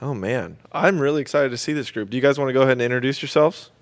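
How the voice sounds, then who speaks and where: A man's voice: medium pitch, fast, no echo. A man chatting casually and playfully as the host of a live radio theater show. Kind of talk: casual chat. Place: radio studio.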